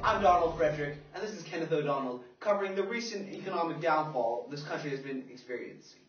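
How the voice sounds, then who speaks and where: Man as earnest 1930s radio anchor, slightly high voice, echoey. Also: fast, faint music behind, natural voice. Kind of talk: scripted news broadcast acting. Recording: echoey, distant, dull amateur recording.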